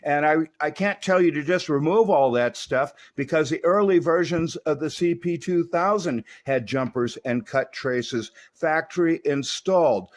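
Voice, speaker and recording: A man's voice: on the high side, unhurried, few pauses. A middle-aged or older man narrating an informal technical demonstration as a repair technician, plain-spoken and folksy with plenty of slang. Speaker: host, radio repair technician.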